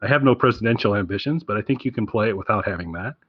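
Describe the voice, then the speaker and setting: Low-voiced man chatting on a podcast. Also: very fast, slightly rough, dry recording, muffled recording. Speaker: podcast host. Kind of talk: casual recommendation chat.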